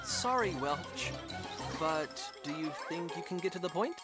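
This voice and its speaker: A man's voice: on the high side, animated, measured pace. A man with an American accent voicing an earnest, dutiful young starship captain in a video game, speaking straightforwardly. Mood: businesslike. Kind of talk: video game cutscene voice acting. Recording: music behind the voice.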